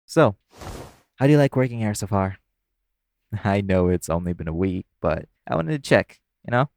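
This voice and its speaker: A man's voice: lowish voice, animated, moderate pace, vocal fry, a little mellow. A man playing an easygoing, teasing club performer who chats casually with a coworker in an intimate audio roleplay. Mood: friendly, reassuring.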